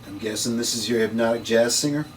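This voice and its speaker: A man's voice: medium pitch, fast talker, close to an everyday voice. Occult investigator, male, curt and sure of himself, read by a man at a table read. Roomy distance, slight noise. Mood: brisk, knowing. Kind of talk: amateur script table read. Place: room, read aloud at a table. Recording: distant room mic, faint noise.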